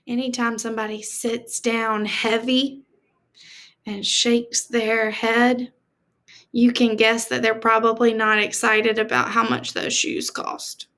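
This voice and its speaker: High, bright-voiced woman reading slowly aloud. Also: flat delivery. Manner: deliberate, explanatory, addressing children directly. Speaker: teacher reading a picture book aloud to children. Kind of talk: expressive storybook read-aloud with teacherly asides.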